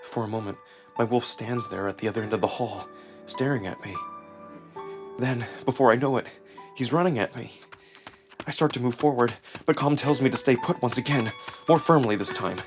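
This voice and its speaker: Male narrator, medium pitch, dramatic first-person storytelling, deliberate pauses, faint music behind. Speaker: narrator and performer.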